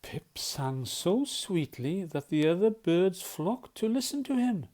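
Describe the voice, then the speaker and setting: Storyteller, children's picture book, very varied pitch, soft mellow tone, unhurried, dramatic. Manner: animated, dramatic, often drops to hushed tones. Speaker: volunteer storyteller. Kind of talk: expressive read-aloud of a children's picture book, with questions to the listener.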